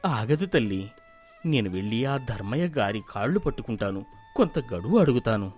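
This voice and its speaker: A man voicing a gentle, humble middle-aged village father in a cartoon moral story, soft-spoken and earnest. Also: mid-range voice, big pitch swings, moderate pace, breathy edge, faint music behind. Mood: sad, humble.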